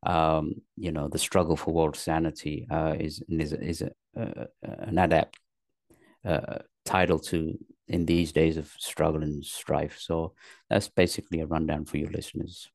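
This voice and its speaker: A middle-aged man from Pakistan, raised in England, recounts his life as an interview guest. His voice sits very low with a gravelly edge, and he stumbles through restarts and fillers. The sound is dry and close. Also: level tone, a little mellow, dry recording. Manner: rambling, halting with many fillers and repeated words. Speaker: guest, actor and comedian. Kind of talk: casual interview storytelling.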